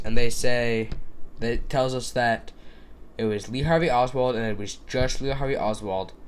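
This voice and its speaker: A man's voice: mid-range voice, a little hiss or hum. A young man hosting a casual solo podcast, sounding stuffy from a cold, rambling with many fillers and restarts into a desk microphone. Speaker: podcast host.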